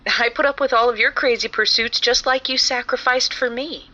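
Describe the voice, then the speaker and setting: A woman's voice: on the high side, fairly even intonation, moderate pace, bright, forceful tone, dull audio. An actress playing a worried wife who pleads earnestly with her husband in an audio drama. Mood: pleading. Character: Maria, Jared's worried estranged wife.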